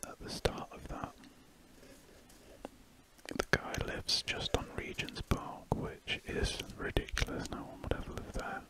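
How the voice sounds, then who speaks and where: A British man whispering breathily in a rambling, hesitant ASMR style, with trailing pauses. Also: rough recording. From United Kingdom. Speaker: ASMR artist narrating while drawing. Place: indoors at a desk, drawing. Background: pen scratching on paper.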